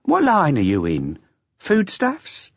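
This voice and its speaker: A man's voice: a little high, huge pitch swings, husky, no echo, highs cut off, pitched above the performer's own voice, heavily acted. A man reading aloud, voicing a buxom, motherly waitress of about thirty-five who chides and chats. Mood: brisk, chiding. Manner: brisk, fending off, chatty.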